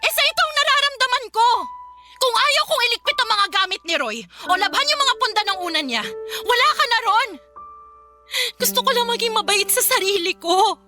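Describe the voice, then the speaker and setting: An actress with a Filipino accent playing a stubborn, wounded young widow who is grieving, in an emotional radio drama style. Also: female voice, extremely high pitch, lively intonation, a little fast, sharp tone, soft background music, heavily acted. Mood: defensive, hurt. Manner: emotional, pleading, defensive.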